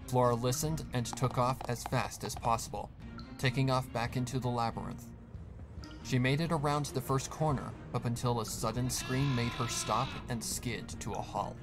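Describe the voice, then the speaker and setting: Male narrator, dramatic horror reading, hushed steady delivery, music underneath. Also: medium pitch. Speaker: fanfic reader, narrator.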